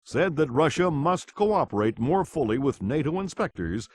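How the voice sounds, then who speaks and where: An actor playing a grave television news anchor, reading scripted bulletins in a formal, measured way for a game. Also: male voice, a little high, sing-song, darkish tone, no room sound, clean studio sound.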